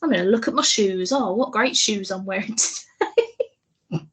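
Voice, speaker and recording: A British woman with a husky voice, co-hosting a podcast in casual, giggly, self-mocking and sweary chat. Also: medium pitch, normal speed, fairly bright tone. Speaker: podcast co-host.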